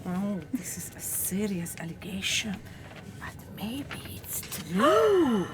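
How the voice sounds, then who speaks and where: A woman playing an excitable, envious wartime factory worker in an amateur radio play, gossipy and dramatic. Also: mid-range voice, slow. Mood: scandalised. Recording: loud background noise.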